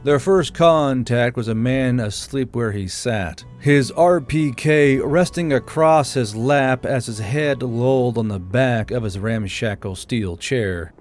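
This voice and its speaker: A man's voice: medium pitch, takes it slowly, hardly any pauses, darkish tone, faint music behind. A man reading scripted military fiction as an audiobook narrator.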